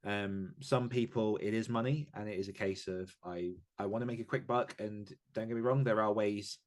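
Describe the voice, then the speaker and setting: A man's voice: lowish voice, fairly even intonation, moderate pace. A man talking as an interview guest, giving informal, candid and emphatic advice as an author in a conversational style.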